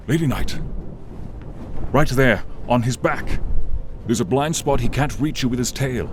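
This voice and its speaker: A man voicing a noble, loyal dragon with a deep voice, speaking in a solemn, grandiose way in a fantasy ASMR roleplay. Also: lightly acted. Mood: urgent, battle-charged. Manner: solemn, grandiose, deliberate storytelling delivery. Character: noble friendly male dragon, earnest and brave, loyal companion. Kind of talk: fantasy ASMR roleplay, dramatic monologue to listener. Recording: clear storm noise behind the voice. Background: rain, thunder and rumbling storm ambience.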